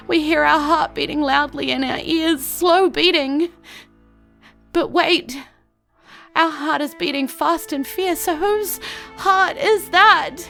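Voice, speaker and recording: A woman narrating an audio drama in a measured, ominous storytelling manner. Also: high voice, a bit bright. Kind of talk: slow, dramatic scripted narration.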